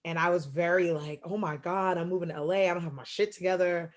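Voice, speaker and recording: A woman in her thirties co-hosting a podcast, telling a lively story and acting out her relatives' lines. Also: fairly low pitch, fast. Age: 30s. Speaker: podcast co-host. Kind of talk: casual podcast chat.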